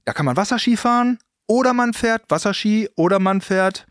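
A man's voice: high-pitched, normal speed, smooth, dry recording, studio quality. An adult man hosting a casual interview, chatty and joking, with a slight accent and lots of fillers and trailing questions. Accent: slight non-native accent. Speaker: podcast host.

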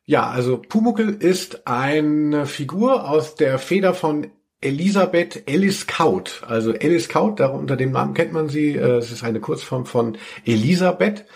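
Creaky-voiced man chatting as podcast host. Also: on the high side, lively intonation, measured pace. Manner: rambling, self-correcting. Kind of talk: casual podcast chat.

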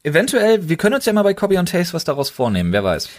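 A man's voice: high-pitched, huge pitch swings, racing. A man co-hosting a podcast, chatting casually in slangy, colloquial language. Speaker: podcast co-host.

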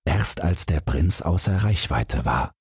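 Deep, very husky male storyteller. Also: no echo, studio quality. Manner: measured, expressive storytelling. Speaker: audiobook narrator. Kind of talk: scripted bedtime fairy-tale narration.

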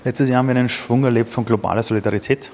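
A man's voice: mid-range voice, steady tone, racing, darkish tone, slight background noise. A man in his forties who was born in Iran, giving earnest, impassioned answers as an entrepreneur in an interview. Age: 40s.

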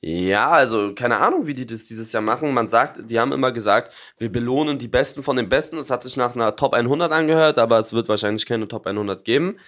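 Co-host, a man of average pitch, excited and rambling about gameplay. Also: fast talker, few pauses. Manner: enthusiastic gamer talk, fillers and restarts. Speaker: podcast co-host. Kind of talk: casual podcast chat.

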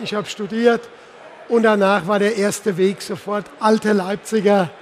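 High-pitched man in his sixties. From Palatinate, Germany. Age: 60s. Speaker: interview guest, insurance executive. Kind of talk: casual on-stage conversation. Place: live stage at a trade fair. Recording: faint background noise, live event.

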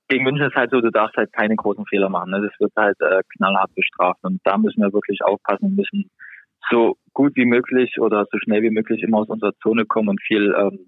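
A male hockey player, a podcast guest, answering in a halting way with many fillers over a remote call. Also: mid-range voice, dry recording. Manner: halting, lots of fillers and restarts. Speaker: ice hockey defenceman guest. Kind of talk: casual podcast interview. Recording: tinny phone-line sound, dry.

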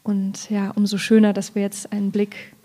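Woman, low-pitched, fairly even intonation, thoughtful and halting, poet in literary discussion. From Thuringia, Germany. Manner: thoughtful, halting, searching for words.

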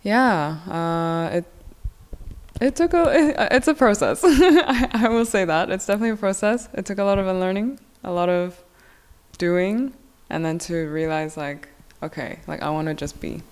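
A young adult woman from South Korea, a yoga teacher, talking as a podcast guest in a casual, hesitant way with many fillers and soft laughs. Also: mid-range voice, normal speed, smooth.

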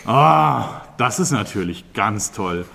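An actor playing a traveler in an improvised children's radio play, talking in a questioning, deliberating way with drawn-out hesitations. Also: mid-range voice, expressive, gravelly, fairly bright tone, a little hiss or hum, full character voice. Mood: curious, deliberating.

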